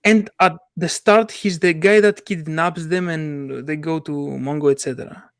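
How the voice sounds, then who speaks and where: An eager man with chats about stories in a fairly high voice. He stumbles and restarts often as his ideas race ahead. The recording is dry and close. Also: steady tone, smooth, dry recording. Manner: enthusiastic, halting, many restarts and laughs. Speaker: comic artist. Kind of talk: casual podcast chat.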